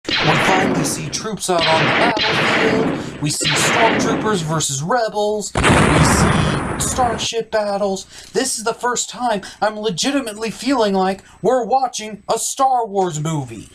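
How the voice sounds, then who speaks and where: Sardonic review host, highly animated delivery, emphatic scripted comedy narration. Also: background noise.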